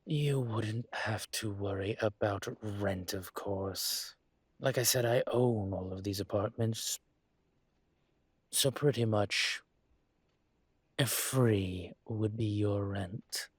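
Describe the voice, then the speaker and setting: A man playing a courtly, possessive dragon mafia boss in an intimate audio roleplay, speaking in a suave, teasing, formal way with a husky voice. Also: fairly low pitch, no room sound. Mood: gently reassuring. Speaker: voice actor.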